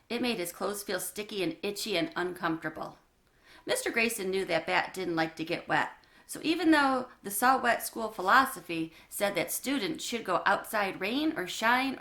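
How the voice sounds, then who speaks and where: Lady reading a kids book out loud, really expressive, bit of fry. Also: mid-range voice. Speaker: storyteller. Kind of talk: reading a children's book aloud.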